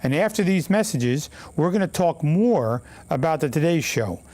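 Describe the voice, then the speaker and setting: A man hosting a local city talk show, with a voice that sits a bit higher than usual. He reads community announcements in a measured, formal way, with the odd filler. He sounds like a studio recording. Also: expressive, darkish tone. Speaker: mayor and show host.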